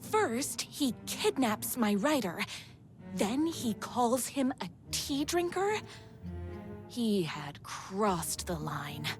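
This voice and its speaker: A feisty, lovestruck game heroine with a high-pitched, swooping delivery. She is earnest and easily indignant, hamming up every line like a devoted fan on a rescue mission. Music plays behind her. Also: sing-song, drawn-out words, exaggerated voice. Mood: dramatic narrating. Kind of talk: hammy game acting with first-person story narration.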